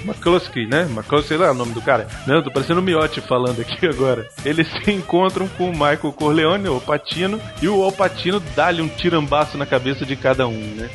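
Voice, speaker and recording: A man's voice: brisk, few pauses, over music. A man with a Brazilian accent co-hosting a podcast, slangy and enthusiastic. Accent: Brazilian Portuguese. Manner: lively, slangy, enthusiastic pitching. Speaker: podcast co-host. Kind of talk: casual podcast banter.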